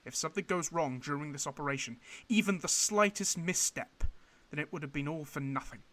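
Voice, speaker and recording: Guy doing angry army boss in a radio play, highish forceful voice, clipped and scolding. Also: fairly quick. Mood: menacing, scolding. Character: stern, angry male military superior berating a subordinate. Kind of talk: radio drama acting.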